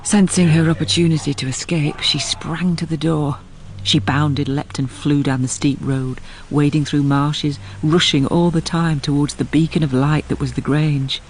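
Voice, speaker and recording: An actress playing a sensible, compassionate housekeeper who narrates a radio drama in a low, grave, measured voice. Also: female voice, steady tone, a little hiss or hum.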